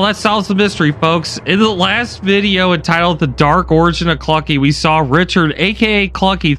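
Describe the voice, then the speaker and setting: Guy doing game lore commentary, sharp bright voice, bit of music behind him. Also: a little high. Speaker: gaming video host. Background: faint background music.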